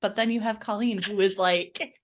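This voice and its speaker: A woman's voice: medium pitch, steady tone, normal speed. Supportive woman co-host chatting casually. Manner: warm, supportive, finishes others' sentences. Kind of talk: casual chat.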